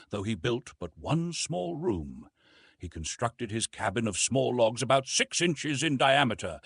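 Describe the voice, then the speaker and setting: A man narrating classic adventure fiction as an audiobook, with dramatic, deliberate phrasing. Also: medium pitch, a bit bright, breathy edge, no room sound, studio quality.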